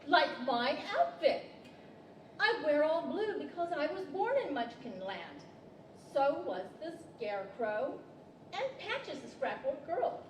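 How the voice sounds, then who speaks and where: Woman as a high-pitched, earnest young child. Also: big pitch swings, unhurried. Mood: proud. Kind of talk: 1940s radio-style stage acting. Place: library stage, microphones on stands. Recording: echoey hall from far off, faint noise.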